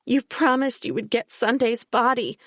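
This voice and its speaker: A distraught young woman pleads and insists in a raised, strained voice, voiced by a woman reading the story. Her voice sits above a reader's usual low register. The recording is close and dry. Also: steady tone, normal speed, fairly bright tone, dry recording. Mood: pleading.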